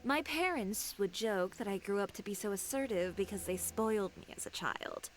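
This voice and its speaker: A woman's voice: mid-range voice, normal speed, close to an everyday voice. Actress doing confident assertive young fashion designer lady, game dialogue, mid voice, faint music. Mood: reminiscing. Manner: poised, self-assured, storytelling. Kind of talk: video game voice acting.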